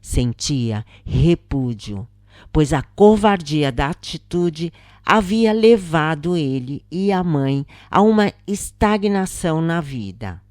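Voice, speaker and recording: Brazilian female narrator for a solemn audiobook read, unhurried, mellow tone. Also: deep voice, dry recording, studio quality. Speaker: narrator reading a spiritist account. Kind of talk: scripted first-person audiobook narration.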